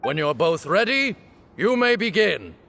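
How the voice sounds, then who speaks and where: A man's voice: high-pitched, very animated, a little slow, creaky, pushed and bright, in a large hall, a little hiss or hum, exaggerated voice. A man voicing a solemn temple official presiding over a sacred duel in a game, proclaiming in a formal, ceremonial way. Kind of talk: game voice acting.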